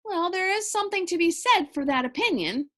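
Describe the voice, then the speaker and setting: A woman's voice: very high voice, animated, brisk, fairly bright tone, pitched above the performer's own voice. A woman voicing a practical, easygoing male firefighter in a storybook, courteous and matter-of-fact. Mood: questioning.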